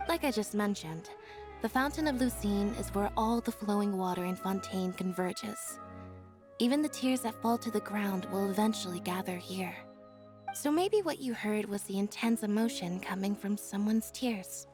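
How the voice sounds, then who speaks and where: A woman playing a calm, reserved young woman in a video game, speaking in a measured, deadpan way. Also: mid-range voice, over music, lightly acted. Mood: insistent, explanatory. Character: calm, reserved young woman, a magician's sister, matter-of-fact. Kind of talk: video game cutscene voice acting.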